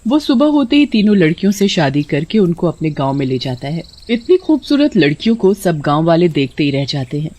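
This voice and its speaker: A woman's voice: deep voice, sing-song, a little fast, hardly any pauses, dark tone. A woman narrating a scripted moral bedtime story as a storyteller.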